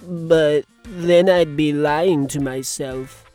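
An actor voicing a dull, pedantic male radio DJ in a children's comedy audio drama, deadpan and painstakingly literal. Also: a little high, slow pace, soft background music. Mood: flat, deadpan.

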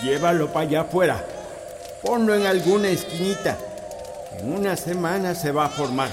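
An actor playing an old male village healer who gives calm, firm instructions. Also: fairly high pitch, moderate pace, in a large hall, over music, close to an everyday voice. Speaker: actor in a sound drama.

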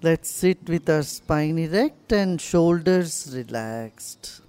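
A woman leading a guided meditation in a soothing, gently instructing manner. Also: low voice, expressive, slow pace, smooth.

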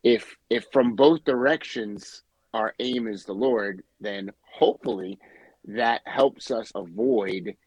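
Low voice dude, dry close sound, kinda halting, repeats himself thinking. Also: male voice, a little mellow, husky, dry recording, poor audio. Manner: halting, thoughtful, repeats words. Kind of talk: casual podcast discussion. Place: New England.

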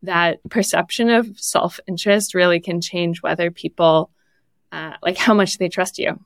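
A woman's voice: on the low side, fairly even intonation, normal speed, no room sound, clean studio sound. A woman speaking conversationally as an interview guest, giving practical advice as a product leader and coach. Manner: laughs lightly while talking.

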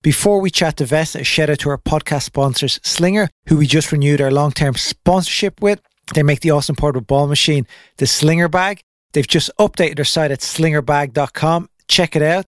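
A man's voice: fairly high pitch, fairly quick, dry recording, studio quality. A man hosting a tennis podcast, delivering an upbeat, chatty intro and sponsor reads before interviewing his guest. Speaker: podcast host.

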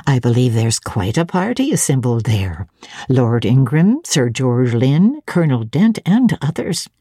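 A kindly old housekeeper, acted out by a woman narrator in a classic storybook reading. The voice sits very low and mellow, with a gentle, motherly, gossipy way of talking that never hurries. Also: big pitch swings, unhurried, breathy edge, no echo, studio quality, exaggerated voice. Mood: chatty, admiring. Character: kindly, chatty elderly English housekeeper, genteel and motherly. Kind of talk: dramatic audiobook character reading.

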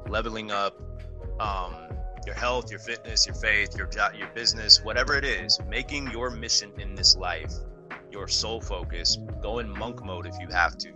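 Low-voiced rambling podcast guy, music behind. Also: moderate pace. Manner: animated, rambling, says "bro" often. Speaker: podcast co-host. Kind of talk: casual podcast chat.

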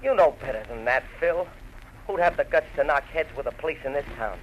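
An actor playing a desperate framed ex-convict pleading urgently through a telephone filter in an old radio drama. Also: male voice, a little high, lively intonation, a little fast. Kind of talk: 1940s-50s radio drama acting. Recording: muffled phone-filtered old radio, faint noise.

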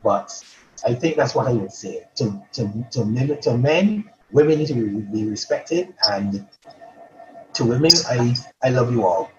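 Halting man with a dull recording. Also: mid-range voice, lively intonation, slight background noise. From Barbados. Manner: halting, many restarts and repeated words. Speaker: poet guest. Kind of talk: casual interview chat.

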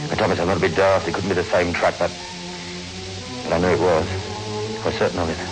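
Low-voiced, edgy lorry driver , acted by a man. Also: lightly acted, rough recording. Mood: haunted, dread. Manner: hesitant, stammering, blokey. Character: edgy working-class lorry driver, adult man, defensive small-time chancer. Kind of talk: 1960s radio drama acting. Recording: old radio drama recording with music.

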